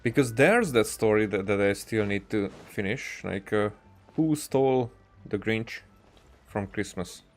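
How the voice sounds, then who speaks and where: A man with a fairly low voice streams a game. He comments casually and haltingly, doubling back on his words as he thinks out loud. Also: lively intonation, faint music behind. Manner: halting, repeats and restarts phrases. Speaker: livestreamer playing a game.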